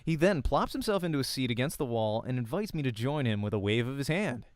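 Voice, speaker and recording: Clear-voiced man narrating a visual novel. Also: mid-range voice, sing-song, fast, dry recording, studio quality. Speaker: narrator and voice actor.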